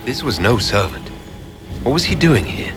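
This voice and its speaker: An actor playing an alert, suspicious hero investigating in a fantasy video game. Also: male voice, mid-range voice, very animated, moderate pace, lightly acted. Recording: loud game music over voice. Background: game music.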